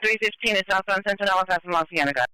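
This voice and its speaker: A male police dispatcher with a high, crisp voice relays pursuit updates over the radio. He is clipped and procedural, repeating reports at a quick pace, and the sound is dry. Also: pushed and bright, dry recording, poor audio. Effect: police radio transmission.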